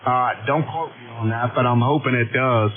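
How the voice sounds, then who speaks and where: A man's voice: medium pitch, fairly even intonation, normal speed, crowd noise. A man with a Northern Irish accent giving a station report as a radio operator. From Northern Ireland. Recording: noisy, narrow-band radio audio.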